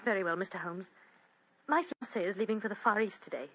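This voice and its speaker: A woman's voice: mid-range voice, fast talker, no echo, natural voice. An actress playing an anxious, well-bred young English woman, earnest and theatrical, in a radio drama. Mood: fearful. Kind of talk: vintage radio drama acting. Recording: dull, lo-fi radio recording.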